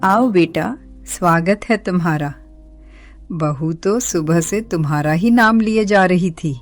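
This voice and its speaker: A woman's voice: on the low side, slow, no echo, faint music behind. Older village mother-in-law, voiced by a woman. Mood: welcoming, expectant. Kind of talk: Hindi cartoon story acting.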